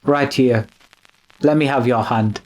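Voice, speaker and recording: A man's voice: mid-range voice, measured pace, a little hiss or hum, close to an everyday voice, poor audio. A man playing a deferential, uneasy manservant, polite and hesitant, in an old-time radio drama. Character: deferential, uneasy manservant following his master.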